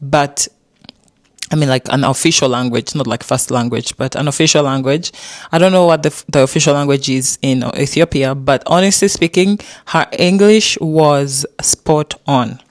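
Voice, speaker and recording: A man from Uganda talks through a review in a loose, chatty way, repeating himself and using fillers. His voice sits fairly high. The sound is dry and close. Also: moderate pace, dry recording. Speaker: pageant reviewer. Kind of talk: casual commentary.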